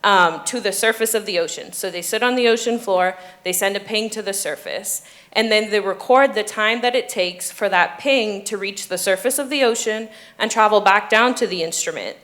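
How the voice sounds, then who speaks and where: A woman's voice: mid-range voice, moderate pace, fairly bright tone. A woman in her twenties from the Bahamas, a doctoral student explaining her ocean research on a panel, earnest and with frequent ums. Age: 20s. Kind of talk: panel discussion.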